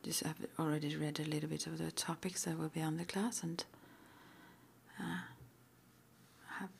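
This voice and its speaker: A woman speaks in a breathy whisper with some creak at the edges, pitched a little low. She plays an interviewer in an ASMR roleplay, asking questions in a gentle, halting way. Faint noise sits behind her voice. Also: steady tone. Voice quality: breathy, mostly unvoiced whisper. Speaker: ASMR roleplay performer as witch school interviewer.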